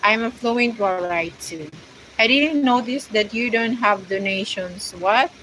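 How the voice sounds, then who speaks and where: A woman's voice: medium pitch, a little slow, a bit bright, a little hiss or hum, low-quality recording. A woman from the Philippines with a Filipino accent, chatting casually on her livestream and reading viewer comments in hesitant English full of fillers. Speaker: vlogger hosting a livestream.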